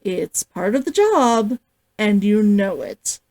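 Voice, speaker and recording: A woman's voice: mid-range voice, sing-song, takes it slowly, a little mellow. A woman voicing a dutiful, practical monster hunter woman who speaks firmly and matter-of-factly in a story. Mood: businesslike.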